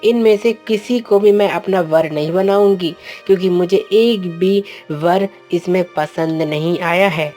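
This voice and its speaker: A woman's voice: fairly low pitch, measured pace, hardly any pauses, dark tone, soft background music, close to an everyday voice. A woman voicing a proud, stubborn young princess who argues with her father in a cartoon story. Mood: scornful. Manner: indignant, defiant. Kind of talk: Hindi cartoon storybook voice acting.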